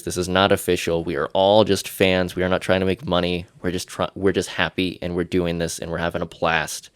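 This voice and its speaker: Deep-voiced congested guy rambling on his podcast, self-deprecating jokes, dry close sound. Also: clean tone, no echo. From Irish. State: congested, stuffy from sinuses. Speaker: podcast host. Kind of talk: solo podcast chat.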